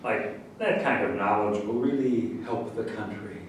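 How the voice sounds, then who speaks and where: Stage satire: male actor as self-assured, mocking rival politician, slow sarcasm, noisy roomy recording. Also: on the low side, close to an everyday voice. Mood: sarcastic. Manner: sarcastic, unhurried. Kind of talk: staged reading of a satirical play. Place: echoey room, far from the microphone. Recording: very echoey, distant, noisy hall recording.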